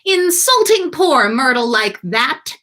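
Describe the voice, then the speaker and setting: Storybook poltergeist, performed by a woman: gleefully wicked, taunting sing-song, high and swooping. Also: sing-song, takes it slowly, sharp tone, exaggerated voice. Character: mischievous, taunting male poltergeist, gleeful and nasty.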